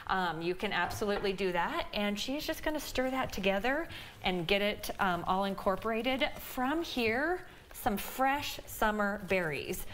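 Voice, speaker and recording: A woman's voice: a little high, a bit bright, a little hiss or hum. A woman in her thirties with a Midwestern American accent, a dietitian explaining a recipe in a chatty way with many fillers during a TV cooking segment. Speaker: dietitian guest. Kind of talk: cooking demonstration on morning TV. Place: home kitchen TV set.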